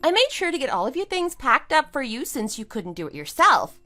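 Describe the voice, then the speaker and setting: A woman's voice: sits high, very animated, a little fast, pushed and bright, faint music behind, full character voice. A woman playing a scornful, triumphant wife in an audio drama, with a sarcastic, emphatic delivery. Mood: smug, matter-of-fact. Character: wronged wife, scornful and triumphant, kicking out cheating husband. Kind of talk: amateur audio drama acting.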